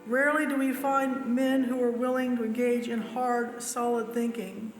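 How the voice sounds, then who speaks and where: A man's voice: very high-pitched, flat delivery, normal speed. A man reading quotations aloud as a church member. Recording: echoey hall, music underneath. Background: music.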